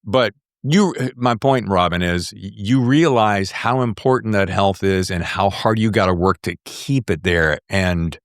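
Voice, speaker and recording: A middle-aged man hosting a casual interview show, talking in an excitable, geeky and slangy way. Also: fairly low pitch, unhurried, hardly any pauses, no room sound, clean studio sound. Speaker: podcast host.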